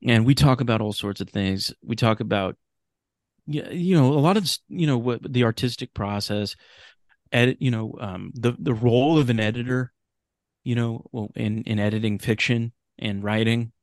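A man's voice: medium pitch, normal speed, no echo, studio quality. An American man in casual podcast conversation as a guest, opinionated and casually profane. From Sacramento, California, United States. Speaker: podcast guest, host of his own show.

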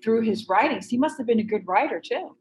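A woman's voice: fairly high pitch, expressive, a little fast, a little mellow, low-quality recording. A woman hosting an interview podcast, enthusiastic, with long leading questions. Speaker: podcast host.

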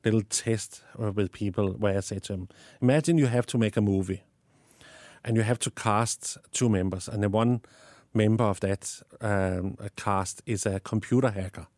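A man's voice: on the low side, fairly even intonation, normal speed, darkish tone, no room sound, studio quality. A man from Denmark with a Danish accent, answering interview questions about teaching, often repeating words as he thinks. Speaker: guest, STEM educator.

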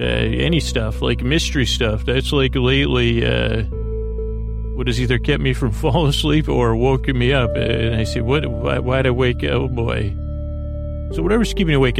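A man with a creaky voice hosting a bedtime podcast in a soothing, halting monologue that meanders off into whimsical tangents. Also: medium pitch. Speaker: podcast host.

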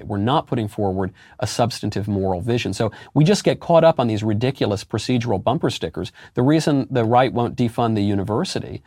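A man with a deep voice, a guest in a political interview, arguing emphatically with rhetorical questions and sudden jumps in pitch on key words. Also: warm tone, no room sound, studio quality. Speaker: interview guest, conservative commentator.

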